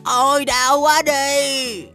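A woman voicing a dramatic leopard patient in a children's cartoon, with an expressive, drawn-out delivery. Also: high voice, soft background music, full character voice. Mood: pained, whiny.

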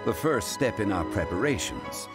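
A dignified, learned gentleman from a fantasy game. His low voice is formal and solemn, with measured phrasing and plenty of melodic movement. Music plays clearly behind him. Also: very animated. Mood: calm, dignified. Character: dignified, learned young-looking male funeral parlor consultant. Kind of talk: video game character dialogue.

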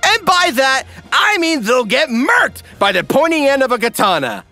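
A man narrating a scripted comedy parody in an animated, tongue-in-cheek manner. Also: extremely high pitch, normal speed, faint music behind.

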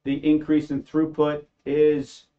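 A man explaining a slide presentation in a plain, halting way, with frequent restarts. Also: fairly high pitch, monotone, dull audio. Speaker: company presenter.

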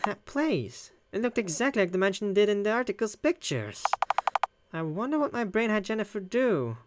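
A woman's voice: medium pitch, very animated, moderate pace, heavy background noise, close to an everyday voice. A woman reading as a puzzled young woman who narrates her inner thoughts in a visual novel. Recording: loud music behind the voice.

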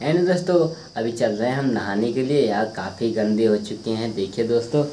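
A man's voice: lowish voice, moderate pace, dark tone, slight background noise, low-quality recording. A young man with an Uttar Pradesh Hindi accent, chatting to his viewers as he walks through his village and farm, filming himself on a phone held at arm's length. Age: young adult. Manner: chatty, repeatedly addresses viewers as friends. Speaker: village vlogger. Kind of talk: casual vlog narration. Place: rural village, indoors and outdoors in fields. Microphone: phone camera at arm's length.

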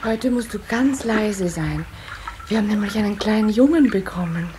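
A woman playing a caring water-sprite mother in a children's audio play, careful and gently scolding. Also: mid-range voice, animated, normal speed, noisy background, natural voice. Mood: hushed, happy.

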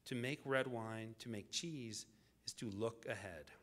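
A man delivering a scripted public lecture as the lecturer, in deliberate, measured phrases with dramatic pauses. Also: mid-range voice, fairly bright tone.

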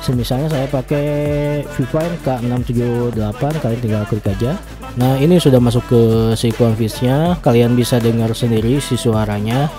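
A man's voice: mid-range voice, normal speed. Brief: young male tutorial host, friendly and chatty, studio mic at home, music bed under him. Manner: chatty, friendly, keeps addressing viewers as friends. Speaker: YouTube tech tutorial host. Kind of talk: casual step-by-step software tutorial. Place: small home room lit with blue LED lights. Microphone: large studio mic on a boom arm.

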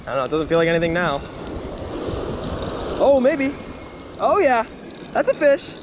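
A man fishing from a beach and talking to an action camera, chatty and self-mocking, with bursts of excitement whenever he thinks a fish is on. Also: high-pitched, normal speed. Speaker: fishing vlogger. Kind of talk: self-deprecating casual vlog narration. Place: beach surf, outdoors. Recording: windy outdoor beach audio with surf noise. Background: wind and crashing waves.

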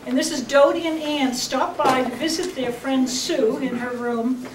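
A woman's voice: high voice, measured pace, far from the mic. A woman in her seventies introducing the troupe's next skit with a light, bubbly manner. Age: 70s. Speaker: theatre troupe presenter. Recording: echoey room, from afar, noisy.

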